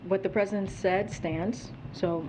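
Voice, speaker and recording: A woman answers from a press briefing podium in a guarded way, falling back on the same set lines. Her voice sits at a middle pitch. Clear background noise runs under the recording. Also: fairly even intonation, a little slow, darkish tone. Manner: guarded, repeats set phrases. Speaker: press secretary. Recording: noisy briefing room.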